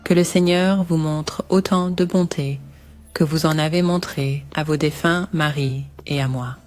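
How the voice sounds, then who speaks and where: A woman's voice: low-pitched, fairly even intonation, measured pace, darkish tone, faint music behind. A woman voicing a bitter, grieving older widow with solemn, formal speech in a biblical cartoon. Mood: tender blessing. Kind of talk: animated Bible story voice acting.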